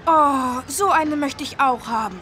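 A woman's voice: high voice, a little slow, sharp tone, soft background music. A woman voicing an eager, polite young boy in a German children's cartoon game. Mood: excited, grateful.

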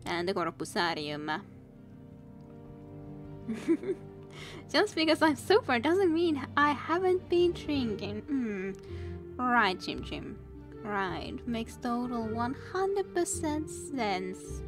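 A woman's voice: fairly high pitch, sing-song, takes it slowly, music in the background. A young woman streaming a role-playing game, chatting casually with viewers, laughing often and teasing them. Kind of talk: casual game stream chat, reading dialogue aloud.

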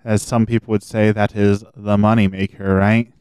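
A man talks his way through a game on stream, rambling and thinking aloud. His voice sits a little low and stays smooth even though it is slightly hoarse. The sound is dry and close, with no room echo. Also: normal speed. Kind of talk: casual gameplay commentary.

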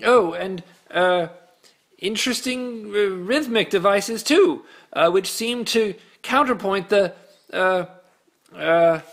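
An actor playing a nervous, floundering human prisoner, stammering and bluffing his way through flattery in a sci-fi comedy. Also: sits high, slow, full character voice. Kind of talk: sci-fi comedy audio drama.

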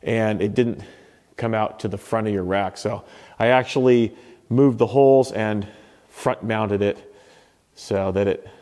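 A man's voice: on the low side, unhurried, in a large hall. Laid-back man touring his garage gym. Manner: relaxed, offhand, with fillers and self-corrections. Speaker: home gym owner giving a tour. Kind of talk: casual walkthrough commentary. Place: garage home gym, indoors.

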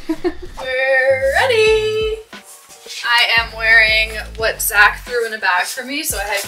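A woman's voice: a little high, takes it slowly, sharp tone, faint music behind, low-quality recording. A young woman vlogging on a ski trip, talking upbeat and gushing into a handheld camera at arm's length, often laughing and saying "like" and "literally". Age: young adult. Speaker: travel vlogger. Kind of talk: casual vlog narration to camera. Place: hotel room, balcony and ski slopes, in and out of doors.

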